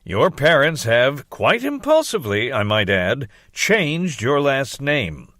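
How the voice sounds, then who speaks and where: A man plays a stern school official in an audiobook, pompous and disgusted. He accuses formally and emphatically, with hugely swinging pitch in a close, dry recording. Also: sing-song, slow, a bit bright, no echo, full character voice. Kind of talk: acted audiobook dialogue.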